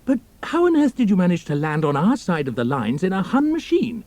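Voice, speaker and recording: An actor playing a loyal, excitable young British airman in a wartime audio drama. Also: high voice, brisk, dry recording, full character voice. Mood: curious.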